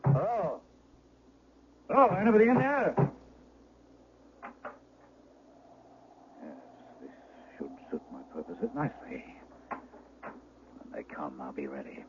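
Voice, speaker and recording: An actor playing an enraged, imperious Duke out for revenge in an old-time radio drama. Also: male voice, medium pitch, sing-song, unhurried, long pauses. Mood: furtive, muttering. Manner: menacing, imperious, melodramatic. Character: enraged, jealous heavy-set Duke, absolute ruler out for revenge. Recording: tinny, hissy old radio broadcast.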